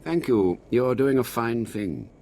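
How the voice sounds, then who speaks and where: Earnest, animated sci-fi scholar. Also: male voice, mid-range voice, slow, background noise. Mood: earnest, persuasive. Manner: earnest, expressive. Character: earnest, anxious male scholar of a secret order. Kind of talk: video game voice acting.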